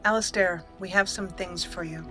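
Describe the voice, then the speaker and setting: Let's play host: woman, forward bright voice, chatty thinking-aloud commentary, light music bed. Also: on the low side, fairly even intonation, normal speed. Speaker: host, gamer. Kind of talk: casual let's play commentary with roleplayed dialogue. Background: soft game music.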